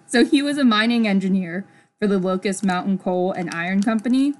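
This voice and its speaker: A woman's voice: medium pitch, moderate pace, darkish tone. A young woman co-hosting a casual podcast, chatty and giggly, reading history from her notes with lots of "like". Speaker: podcast co-host. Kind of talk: casual chat.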